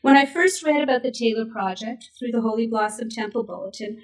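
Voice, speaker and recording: A woman in her sixties from Canada, whose first language was Yiddish, reading a prepared speech at a memorial event in a measured, steady way. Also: on the high side. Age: 60s. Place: synagogue event hall. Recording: voice picked up from a distance.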